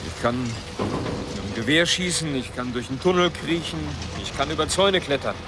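An actor playing a restless, sardonic ex-prisoner in a naturalistic radio drama, with a dry, blunt manner. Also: male voice, a little high, a little fast, heavy background noise, close to an everyday voice. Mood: defiant, sardonic. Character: restless, sardonic East German ex-prisoner and dreamer.

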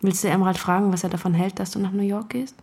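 A woman's voice: medium pitch, steady tone, fast, darkish tone, husky. A woman playing a subdued live-in partner in an intimate radio drama, speaking in a muted way.

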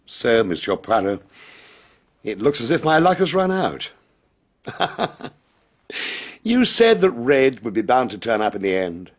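An actor playing a cool, arrogant gentleman murderer in a radio drama, husky and scornful. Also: medium pitch, very animated, a little slow, long pauses. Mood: cornered, bitter. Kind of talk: BBC radio drama acting.

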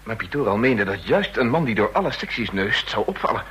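A man's voice: lowish voice, very animated, fairly quick, fairly bright tone, husky, exaggerated voice. An actor playing an earnest, worried male official seeking help in a radio drama. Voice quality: earnest, anxious. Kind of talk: vintage radio drama acting.

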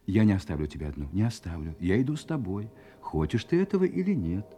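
An actor playing a menacing, possessive husband in an audio drama, theatrical and coaxing with false tenderness. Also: male voice, lowish voice, very animated, fast, dark tone, slight background noise. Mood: coaxing, insistent. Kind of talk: Russian audio drama acting.

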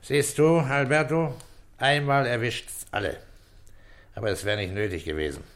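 An actor playing a calm, weary, shrewd senior police inspector in an old German radio crime drama, speaking with deliberate, paternal authority. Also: male voice, medium pitch, natural voice. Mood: calm, reasoning. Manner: deliberate, authoritative, explaining step by step.

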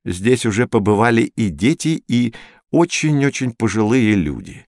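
A learned older male teacher figure played by a man with a very animated, mid-pitched voice. He explains and tells stories to children in an educational audio play. The recording is dry and close. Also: normal speed, no echo, close to an everyday voice. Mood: explanatory. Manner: didactic, expressive, storytelling.

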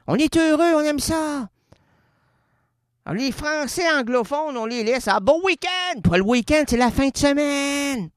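A man's voice: very high voice, animated, unhurried, dry recording, clean studio sound. A man with a Québécois French accent doing a rambling, playful comic radio monologue. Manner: playful, rambling, swings between loud and quiet.

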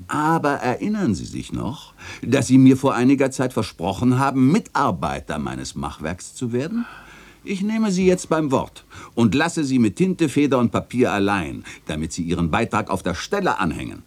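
An actor playing a genial old chronicler in a German radio play, courteous and literary in manner. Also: male voice, mid-range voice, very animated, moderate pace. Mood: gracious, genial.

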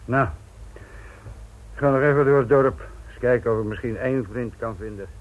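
An actor playing a weary, frustrated police inspector in a radio play, gruff and curt, muttering the odd curse. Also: male voice, medium pitch, moderate pace, narrow, tinny audio, lightly acted. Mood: irritated. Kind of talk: Dutch radio play acting. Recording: noisy old radio drama recording.

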